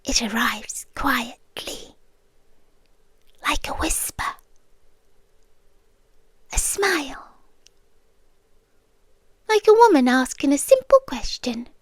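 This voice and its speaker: Slow, hushed British yandere girlfriend, acted by a woman. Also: high voice, big pitch swings, no echo, heavily acted. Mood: reflective, menacing. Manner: hushed, often whispering, deliberate pauses. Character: possessive yandere girlfriend, softly menacing captor. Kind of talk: ASMR roleplay, slow intimate delivery. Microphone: close microphone.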